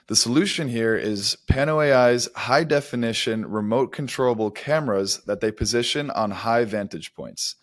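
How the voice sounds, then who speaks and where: A man's voice: medium pitch, dry recording, studio quality. A man co-hosting a podcast, explaining startup news in an articulate, semi-scripted way. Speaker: podcast co-host.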